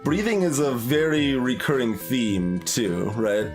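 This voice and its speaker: A man with a slightly high-pitched voice talks through a game in a casual, analytical way. He thinks aloud, pausing and rephrasing as he works out his ideas, with prominent music playing behind him. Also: very animated. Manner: hesitant, thinking aloud, self-correcting.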